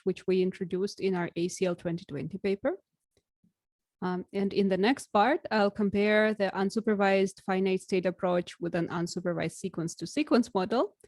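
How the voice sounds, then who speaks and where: A young woman, a native Russian speaker, presents her research in a steady, explanatory lecture. Her voice is mellow and warm. The sound is close and dry, from a desk mic in a small room. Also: mid-range voice, no echo. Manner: clear and measured, occasional fillers. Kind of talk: recorded academic talk over slides.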